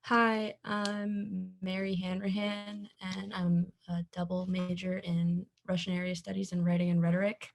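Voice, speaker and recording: A young woman student from New Hampshire introduces herself. Her voice is gravelly and almost monotone, sitting a little low. She speaks over a video call. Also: measured pace, few pauses. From New Hampshire, USA. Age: young adult. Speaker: student inductee.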